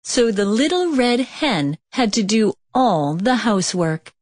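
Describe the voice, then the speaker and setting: A woman narrating a children's read-along storybook with careful, expressive delivery. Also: a little high, measured pace, no room sound, clean studio sound.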